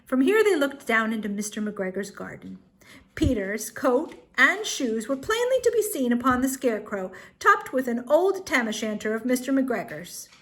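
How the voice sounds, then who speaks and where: A woman storyteller reading a children's tale aloud expressively, with dramatic pauses and emphasis. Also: on the high side, sing-song, a bit bright.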